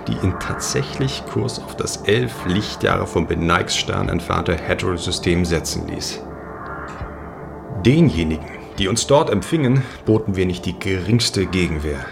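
A man playing a brooding sect leader in a science fiction audio drama, speaking with dramatic, measured delivery. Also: deep voice, creaky. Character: brooding male sect leader in space, recounting his downfall.

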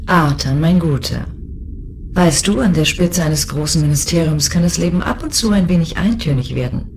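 A woman's voice: low-pitched, moderate pace, darkish tone, a little hiss or hum. An actress playing a cool, determined, sardonic female government commissioner in a German science fiction audio drama. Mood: sardonic, firm. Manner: sardonic, self-assured. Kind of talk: German audio drama acting with inner monologue. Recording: music bed behind the voice.

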